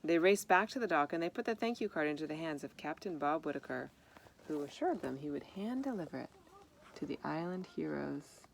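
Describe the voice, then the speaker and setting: A woman with a low voice and plenty of vocal fry reads a children's story aloud. She is expressive and speaks informally about the pictures. Some background noise sits behind her. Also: fairly quick. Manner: expressive, acts out dialogue. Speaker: storybook reader.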